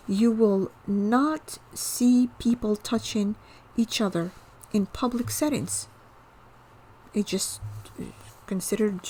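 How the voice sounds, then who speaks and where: A woman with a mid-range voice teaches in an informal lecture style. She explains earnestly, pauses on fillers, restarts phrases, and keeps checking in with the listener. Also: mid-range voice, darkish tone, breathy edge, poor audio. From Morocco. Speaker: host, cross-cultural trainer.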